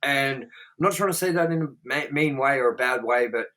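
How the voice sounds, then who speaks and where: A man's voice: mid-range voice, brisk, bright, forceful tone. A man co-hosting a podcast, talking casually and at length, rambling with lots of fillers and swearing. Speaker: podcast co-host. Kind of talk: casual chat.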